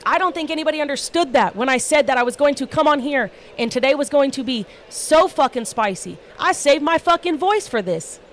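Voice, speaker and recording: A woman's voice: sits high, expressive, fairly quick, hardly any pauses, a little hiss or hum. A woman hosting a livestream panel, talking bluntly and emphatically and swearing constantly in casual rants. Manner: blunt, heavily profane, emphatic.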